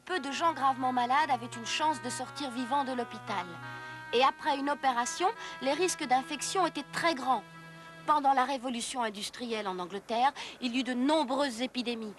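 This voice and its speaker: A woman giving scripted, didactic storytelling narration for an educational history cartoon. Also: sits high.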